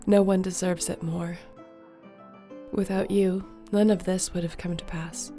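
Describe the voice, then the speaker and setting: A caring sorceress played by a woman speaks in a fairly low, soft-edged mellow voice. She is calm and capable, reassuring those around her in a fantasy audio drama, with faint music behind her. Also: unhurried. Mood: warm, sincere. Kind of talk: fantasy audio roleplay acting.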